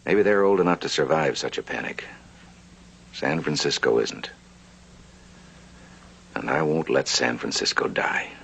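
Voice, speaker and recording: A man's voice: very deep voice, a little slow, creaky, pauses often, husky, dull audio, full character voice. An American man playing a determined, scheming banker in a vintage television western, earnest and persuasive. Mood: grave, resolute. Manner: earnest, persuasive, slyly flattering. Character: determined, scheming male banker in the Old West.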